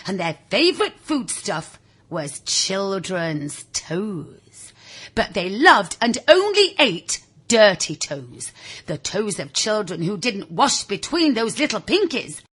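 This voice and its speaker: A woman reading a children's story in a theatrical, confiding way, with a husky, raspy voice, speaking directly to the listener. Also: medium pitch, big pitch swings, slow, a bit bright. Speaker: audiobook reader. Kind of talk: animated scripted children's story narration.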